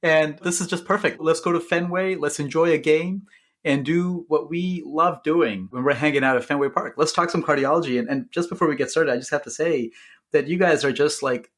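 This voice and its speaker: A man co-hosting a podcast, reading the show's mission and welcoming guests with excitement. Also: a little high, fairly quick. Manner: warmly effusive, excited. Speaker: podcast co-host. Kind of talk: scripted pitch then casual chat.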